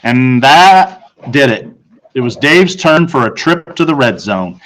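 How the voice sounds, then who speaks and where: Man reading a children's story, medium pitch. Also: big pitch swings, a little slow, a bit bright, poor audio. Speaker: teacher reading aloud. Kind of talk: children's book narration.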